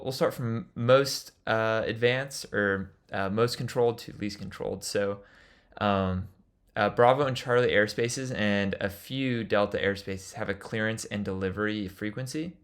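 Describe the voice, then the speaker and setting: A man's voice: lowish voice, fairly bright tone, clean tone. A man giving an instructional lecture as a flight instructor, explaining things in an informal way.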